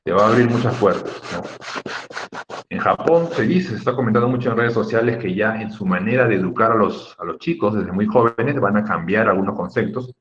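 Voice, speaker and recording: Low-pitched male teacher, dull audio. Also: a little fast, a little hiss or hum. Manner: didactic, emphatic, repeats key words, checks in with tag questions. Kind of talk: Spanish lesson on accent rules for students.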